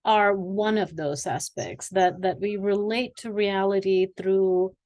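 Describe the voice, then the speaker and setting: A woman in her forties, originally from Sri Lanka, speaks as an interview guest. Her voice sits at a middle pitch, and she tells her story in a reflective, searching way, pausing often on fillers. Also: fairly even intonation, a little mellow. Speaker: guest, executive coach. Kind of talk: conversational interview.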